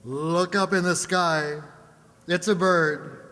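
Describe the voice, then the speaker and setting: A man's voice: sits high, steady tone, slow pace, sharp tone. Dramatic male radio serial announcer. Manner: dramatic, declamatory. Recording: echoey hall, clear background noise.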